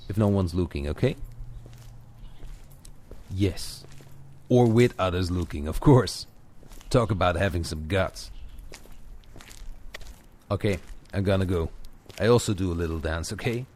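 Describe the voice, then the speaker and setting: A man's voice: low-pitched, unhurried, pauses often, a little mellow. A man coaching the listener in an informal, conversational audio-walk narration, addressing them directly. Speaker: coach guiding a walking audio lesson. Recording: outdoor ambience noise with clicks. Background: added outdoor walking ambience, birds.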